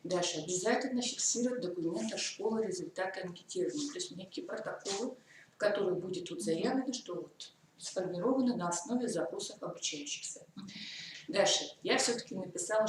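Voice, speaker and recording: A woman from the Komi Republic giving a methodical teacher-training lecture, walking through points step by step with hesitant fillers. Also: medium pitch. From Komi Republic, Russia. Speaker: lecturer on school curriculum. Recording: echoey hall from far off, faint noise.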